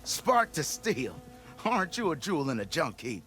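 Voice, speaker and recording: A theatrical, swooping expedition boss. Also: male voice, fairly high pitch, sing-song, normal speed, fairly bright tone, soft background music. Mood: gleeful, flattering. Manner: grandiose, florid, theatrical. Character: boastful, theatrical expedition leader, a flattering delver and salesman. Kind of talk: video game character acting.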